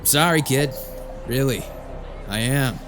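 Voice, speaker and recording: Music plays strongly behind a hardened male exorcist voiced by an actor. He is a stern warrior mentor with an average-pitched voice, lecturing bluntly and with weight, as in a fantasy audio drama. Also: animated, slow. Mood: somber, consoling.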